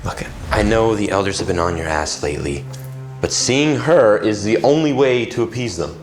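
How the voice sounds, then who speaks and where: A man's voice: lowish voice, normal speed, soft background music, natural voice. A man playing a laid-back werewolf beta. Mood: persuasive. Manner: casual, persuasive, teasing. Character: loyal easygoing male werewolf beta, the alpha's best friend. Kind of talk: audio drama acting.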